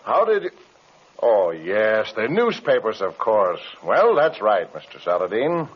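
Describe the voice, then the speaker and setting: An actor playing a blunt, impatient airline company president in an old radio drama, with a brusque, clipped manner. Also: male voice, fairly low pitch, big pitch swings, a little slow, slightly rough, heavily acted. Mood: troubled, demanding. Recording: muffled vintage radio recording.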